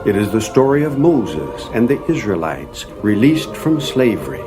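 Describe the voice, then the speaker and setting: Solemn male narrator over loud music. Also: lowish voice, sing-song, moderate pace. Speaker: narrator of a religious audio drama.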